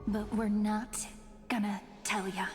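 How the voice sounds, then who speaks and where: A woman's voice: medium pitch, flat delivery, takes it slowly, in a large hall, music in the background, lightly acted. An actress playing a playful, confident young woman with a light, teasing delivery for a game. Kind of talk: game voice acting.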